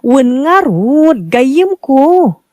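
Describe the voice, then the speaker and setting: A woman's voice: high-pitched, very animated, very slow, a little mellow, no echo, exaggerated voice. A woman with a Filipino accent playing a flirtatious, defensive cheating wife in an audio drama, coy and dismissive.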